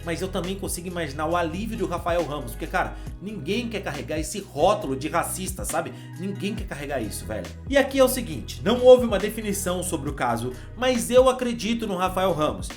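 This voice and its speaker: A man's voice: high-pitched, big pitch swings, brisk, few pauses, faint music behind. A man with a Brazilian accent hosting a football channel, giving his opinion in a casual, conversational way and addressing the viewer with slang.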